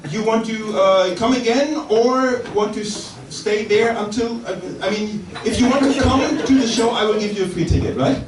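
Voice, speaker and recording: A man's voice: high-pitched, moderate pace, few pauses, background noise. A man hosting a comedy show, teasing the audience and chuckling often between lines. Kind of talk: stand-up crowd work. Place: comedy venue with a live audience. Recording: echoey room, mic far off, loud crowd.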